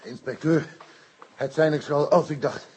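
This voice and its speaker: An African tribesman giving testimony in a radio drama. His voice is very husky and fairly high for a man, and he speaks in simple, broken foreigner's sentences. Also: fairly even intonation, darkish tone. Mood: solemn, recounting. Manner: broken, foreigner's grammar, simple halting phrases. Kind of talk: radio play acting.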